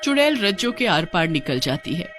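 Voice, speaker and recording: A woman's voice: fairly low pitch, very animated, moderate pace, a bit bright, soft background music. A woman narrating a scripted story in a dramatic storytelling manner.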